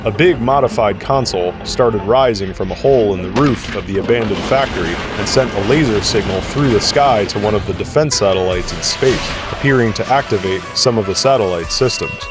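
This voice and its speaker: A man's voice: on the low side, fairly quick, hardly any pauses, music in the background. A man narrating an audio drama in a scripted storytelling style.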